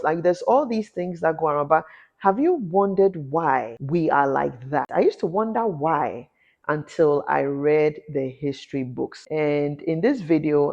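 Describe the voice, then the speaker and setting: A woman from Ghana, a fashion designer, giving an impassioned commentary vlog that often rises into a rant. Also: darkish tone. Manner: impassioned, ranting, rising in pitch when indignant. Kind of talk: talking-head commentary vlog.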